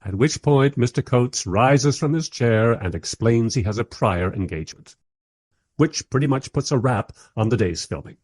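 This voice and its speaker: A man's voice: mid-range voice, a little mellow, dry recording, natural voice. A man playing a wry, weary filmmaker who narrates a radio play in a dry, sardonic way. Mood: dry exasperation.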